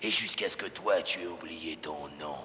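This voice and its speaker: An actor playing a stern, menacing lawman and jailer in a cartoon, speaking deliberately. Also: low voice, sharp tone, slight background noise. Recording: long reverberant hall, faint music.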